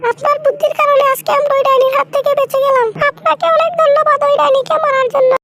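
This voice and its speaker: A squeaky young girl of a cartoon, as a woman puts her on, eager and thankful, talking quickly at a very high pitch. A loud noise sits behind her. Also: level tone. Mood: grateful. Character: young sister-in-law, timid, grateful.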